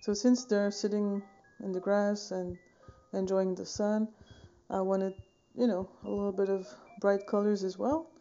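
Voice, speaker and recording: A woman in her thirties from Switzerland, chatting casually about her painting in a relaxed, rambling way, with many fillers and small self-corrections. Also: mid-range voice, a little slow, hardly any pauses, muffled recording. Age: 30s. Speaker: painting vlogger. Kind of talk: casual tutorial chat. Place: home studio room, indoors, wooden shelves. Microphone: phone camera at desk distance.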